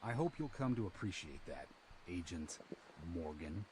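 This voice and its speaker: Low-voiced territorial sheriff. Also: male voice, sing-song, moderate pace, a little hiss or hum, poor audio. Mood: dismissive, guarded. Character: gruff, territorial small-town male sheriff, guardedly polite. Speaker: video game character, small-town sheriff. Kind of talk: video game voice acting. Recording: loud music over the voice.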